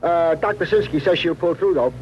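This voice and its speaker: A man plays an eager cop sidekick with a distinctly high voice. He is earnest and deferential, with stilted, homely phrasing. The old radio crime recording is muffled, with clear noise. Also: fairly quick, close to an everyday voice. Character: deferential, earnest, slightly simple male police detective sidekick. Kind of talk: 1950s radio crime drama acting.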